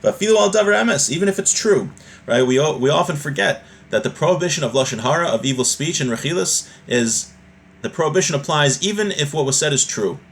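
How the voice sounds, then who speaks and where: A man's voice: on the high side, lively intonation, fast. A man giving an informal religious lesson in a didactic, conversational way, reading from a text and then explaining it. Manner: didactic, conversational, checks in with "right?". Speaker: religious teacher. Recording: noisy background ambience.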